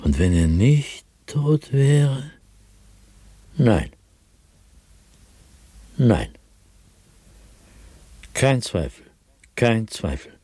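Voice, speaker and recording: Haunted madman with wildly swinging pitch. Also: big pitch swings, warm tone, no echo, heavily acted. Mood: despairing. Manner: haunted, theatrical, fervent, with dramatic pauses and whispers. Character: tall, terrified man descending into madness, diary narrator. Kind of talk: dramatic literary monologue, radio play.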